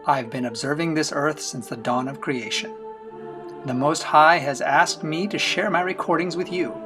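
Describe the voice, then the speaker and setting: A man voicing a recording angel, giving a measured, formal introduction. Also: fairly high pitch. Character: solemn male recording angel. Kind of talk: scripted narration. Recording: narration over music.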